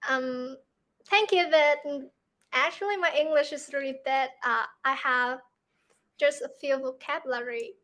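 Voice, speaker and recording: A woman's voice: sits high, a little slow, a bit bright. A young woman from Vietnam with a Vietnamese accent, practicing English over a video call and speaking hesitantly with many fillers. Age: young adult. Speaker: English learner. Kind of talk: conversation practice.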